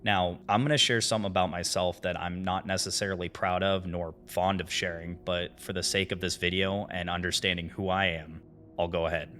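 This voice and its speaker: A man narrates a scripted video essay in a deep, bright-edged voice. He sounds earnest and dramatic, phrasing things for weight while slipping in informal asides. Soft music plays faintly behind him. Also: little pitch movement, brisk. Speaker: host of a horror series analysis video.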